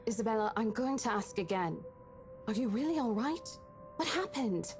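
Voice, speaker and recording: A woman's voice: on the high side, expressive, moderate pace, darkish tone, faint music behind. An actress playing a composed, caring but firm woman realtor in a visual novel game. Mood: concerned.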